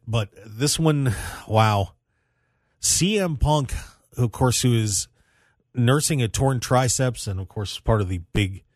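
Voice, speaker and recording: A man hosting a casual sports talk radio show in an animated, emphatic way with dramatic pauses. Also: on the low side, dry recording, studio quality. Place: radio studio.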